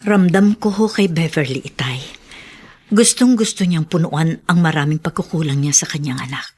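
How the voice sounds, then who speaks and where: A kind, apologetic woman in a radio drama. Her voice sits fairly low, and she speaks in a soothing, caring, sympathetic way. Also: normal speed, darkish tone, husky. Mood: hopeful sympathy. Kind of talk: Tagalog radio drama acting.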